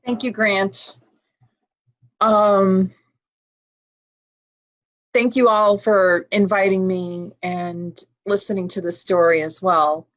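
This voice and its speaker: Slow, thoughtful American woman storyteller. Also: medium pitch, fairly even intonation. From United States. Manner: slow, reflective, frequent ums and uhs. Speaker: guest speaker. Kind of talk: personal storytelling talk. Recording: dull lo-fi sound.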